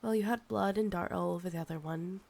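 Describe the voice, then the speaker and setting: A Scottish woman voicing an ancient, insatiable female vampire in an ASMR roleplay, coaxing and sighing, breathy and often dropping to a whisper. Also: lowish voice, fast, a little mellow. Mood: gently probing.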